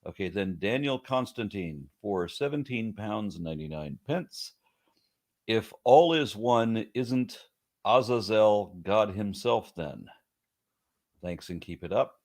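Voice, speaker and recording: Man with a low, lower-register voice, middle-aged, livestream host, reading viewer messages aloud with brief remarks in between, casual and unhurried. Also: lively intonation, darkish tone. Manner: reads messages aloud, then comments briefly.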